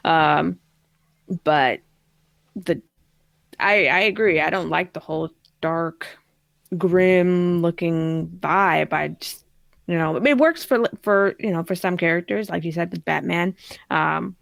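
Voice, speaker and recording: Female co-host, lowish pitch, tentative conversational delivery on films, dry sound. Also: slow, creaky, fairly bright tone, no room sound. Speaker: podcast co-host. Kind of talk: casual chat about films.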